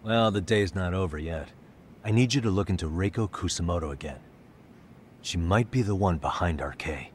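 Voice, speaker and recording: A man's voice: low voice, normal speed, slight background noise, lightly acted. An actor playing a cool, level-headed investigator in his thirties, speaking matter-of-factly in an English game dub. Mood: businesslike. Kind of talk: English dub video game drama acting.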